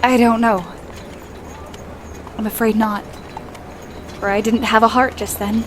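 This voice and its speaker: Woman playing high-voiced, earnest young woman. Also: little pitch movement, a little slow, slight background noise, close to an everyday voice. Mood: tearful, tender. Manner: hesitant, polite, period phrasing. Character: earnest, proud, emotional young woman in love. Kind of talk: audio play acting.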